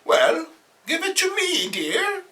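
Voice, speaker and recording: A man voicing a sweet, fussing elderly aunt in a comic book reading, doting and theatrical with drawn-out words. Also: extremely high pitch, huge pitch swings, pushed and bright, distant mic, pitched above the performer's own voice, full character voice. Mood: doting concern.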